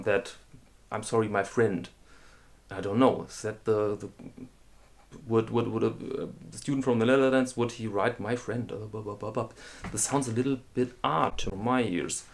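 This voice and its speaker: Man, German accent, lowish voice, hesitant explainer, restarts sentences. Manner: halting, restarts phrases, frequent ums. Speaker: host. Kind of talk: casual explainer monologue.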